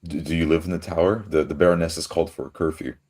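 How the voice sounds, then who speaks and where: A man playing a tabletop roleplaying game, speaking in character with measured, formal phrasing. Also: a bass voice, fairly even intonation, a little mellow.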